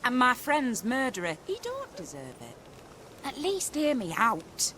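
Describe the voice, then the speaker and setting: A sharp, forceful female voice. It is a cocky, coarse fantasy game swordswoman, mocking and pushy. Faint noise sits behind her. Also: a little high, wildly swooping pitch, unhurried, exaggerated voice. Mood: insistent, vengeful. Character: brash, pushy sword-fighting ruffian woman, mocking and vengeful. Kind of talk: video game voice acting.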